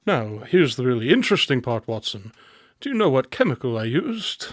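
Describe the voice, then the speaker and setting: An actor playing a restless, self-absorbed male detective inventor in a radio drama, brisk and condescending as he explains. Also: medium pitch, big pitch swings, no room sound, studio quality. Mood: impatient, dismissive. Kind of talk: modern radio drama acting.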